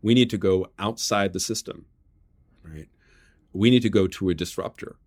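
A man speaking as an interview guest, laying out political analysis conversationally in short, measured phrases, checking in with rhetorical questions. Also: deep voice, a little mellow. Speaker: journalist and author, interview guest.

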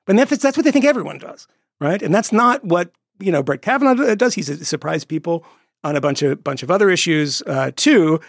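Gravelly-voiced man riffing on politics. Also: on the high side, expressive, dry recording, clean studio sound. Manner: quick, digressive, jokey, slips into put-on voices. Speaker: podcast co-host. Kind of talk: casual political chat.

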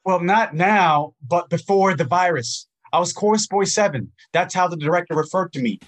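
An actor playing a young, homeless chorus actor from Arizona, earnest and pleading, in an audio drama. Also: male voice, high voice, normal speed. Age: young adult. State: shivering from cold.

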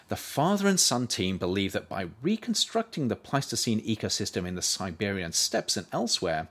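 A man reading his own nonfiction book aloud as an audiobook, in a measured, expressive way. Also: on the low side. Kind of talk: audiobook narration.

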